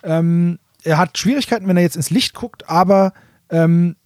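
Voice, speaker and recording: Male podcast co-host, fairly high voice, dry sound, loose colloquial explainer who corrects himself. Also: moderate pace, darkish tone, dry recording. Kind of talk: casual chat retelling a story.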